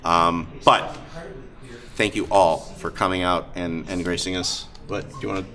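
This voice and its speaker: A man hosting a live show, talking casually and off the cuff, with enthusiasm, halting asides and frequent hesitations. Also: low voice, flat delivery, bright, forceful tone. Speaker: host of a live-streamed tabletop roleplaying show. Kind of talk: casual off-the-cuff talk to an audience. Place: live event room at a gaming convention. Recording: live convention room with crowd noise.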